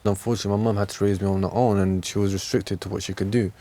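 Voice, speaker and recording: A man with a fairly low voice talks candidly in a casual outdoor conversation. He is emphatic, often repeating a phrase and adding little check-in tags. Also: level tone, very fast, mellow. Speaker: interviewee. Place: outdoors on a park bench.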